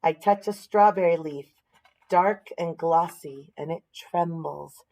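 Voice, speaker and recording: A woman's voice: fairly low pitch, slow, mellow, low-quality recording. An American woman reading a picture book aloud expressively, with explanatory asides and questions for young listeners.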